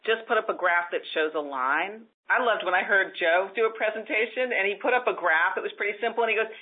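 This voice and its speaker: A woman's voice: medium pitch, fast, slightly rough. Woman presentation coach, emphatic, heard through a phone-like line. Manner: lively, emphatic, gives examples. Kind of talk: call-in advice show.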